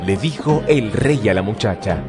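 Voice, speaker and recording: Animated male scripture narrator. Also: on the low side, moderate pace. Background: music.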